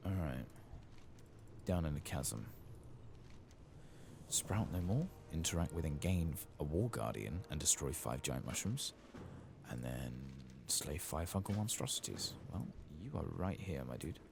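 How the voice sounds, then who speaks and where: A man giving soft-spoken, sometimes whispered ASMR commentary on a game, muttering casually with mild swearing over audible background noise. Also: a bass voice, animated, measured pace, creaky. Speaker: game streamer, ASMR playthrough host.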